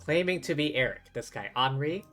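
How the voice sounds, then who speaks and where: A man streaming a game, reacting casually and thinking aloud in a halting, repetitive way. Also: a little high, lively intonation, music in the background. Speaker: live streamer.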